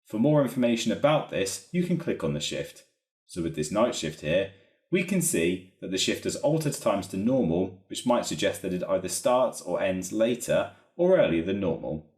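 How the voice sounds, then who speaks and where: A man narrating a software training walkthrough in a methodical, explanatory way. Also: low voice, sing-song, moderate pace. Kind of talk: instructional screen-recording narration. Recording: roomy, echoey voice recording.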